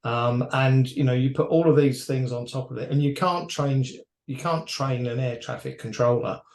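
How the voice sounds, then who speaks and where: A man's voice: mid-range voice, steady tone, moderate pace, a little mellow. Echoey-sounding man giving expert commentary. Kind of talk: conversational industry commentary.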